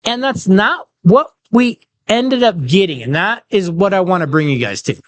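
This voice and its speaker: A man hosting a casual sports podcast, chatty, with quick asides and leading questions. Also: high-pitched, animated. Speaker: podcast host. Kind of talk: casual sports chat and interviews.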